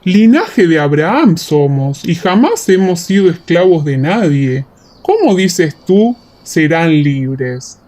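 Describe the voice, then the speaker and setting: A woman's voice: fairly low pitch, very animated, slow pace, dark tone, pitched below the performer's own voice. A woman voicing skeptical, confrontational Pharisee men in an audiobook radio play. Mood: proud, indignant.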